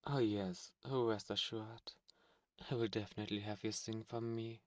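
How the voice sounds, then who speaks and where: A man's voice: fairly low pitch, fairly even intonation, normal speed, no room sound, close to an everyday voice. A man playing a haughty demon prince in a romantic fantasy ASMR roleplay, speaking formally, flirtatiously and with command. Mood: seductive, possessive. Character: haughty, seductive demon prince, courtly and possessive.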